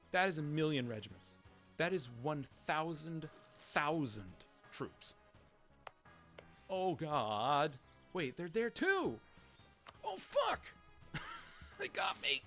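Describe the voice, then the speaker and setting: A man with a fairly deep-set creaky voice rambles through a casual Let's Play. He interrupts himself, swears freely and swings between excitable outbursts and muttered asides. Music plays behind him. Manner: rambling, self-interrupting, profane. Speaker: Let's Play gamer and commentator. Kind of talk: casual game commentary with dramatic readings of game text.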